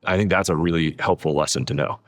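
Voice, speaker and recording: A man's voice: very deep voice, quick pace. A man talking as an interview guest, explaining casually with lots of "like" and stacked adjectives for emphasis. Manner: peppers sentences with "like", emphatic repetition of adjectives. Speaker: podcast guest, author.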